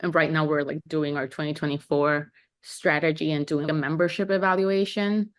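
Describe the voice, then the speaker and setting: Upbeat low-voiced young woman. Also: steady tone, moderate pace. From Puerto Rico. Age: young adult. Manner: upbeat, laughs often while talking. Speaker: guest. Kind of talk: podcast interview.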